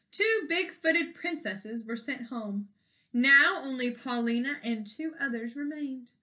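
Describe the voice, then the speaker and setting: A woman's voice: fairly high pitch, bright, forceful tone. A woman reading a children's story aloud expressively, explaining and posing questions as if to young listeners.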